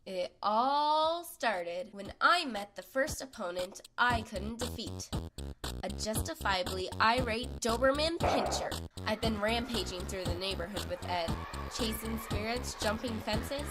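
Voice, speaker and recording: A woman's voice: a little high, lively intonation, a little slow, over music. A woman voicing a tough, slangy young girl fighter in a comic dub, talking in a brash, offhand way. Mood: cocky, offhand.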